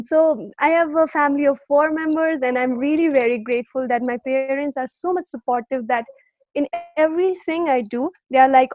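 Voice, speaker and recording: High-voiced young Nepali woman. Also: no echo, lo-fi sound. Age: young adult. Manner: earnest, soft-spoken, searching for words. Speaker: guest, youth climate activist. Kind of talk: podcast interview.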